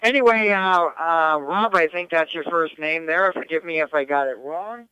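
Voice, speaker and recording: A man's voice: on the high side, normal speed, bright, forceful tone, clean tone, no room sound, muffled recording. An older man casually checking in over amateur radio. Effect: amateur radio transmission. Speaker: ham radio net participant.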